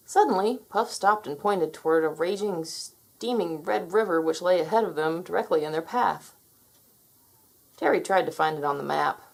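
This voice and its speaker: A woman's voice: fairly low pitch, normal speed, vocal fry. A woman narrating a children's story, reading aloud expressively.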